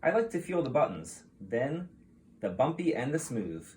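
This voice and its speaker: A man reading a picture book aloud to young children like a teacher, in an expressive, explanatory way. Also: medium pitch, normal speed, a little hiss or hum.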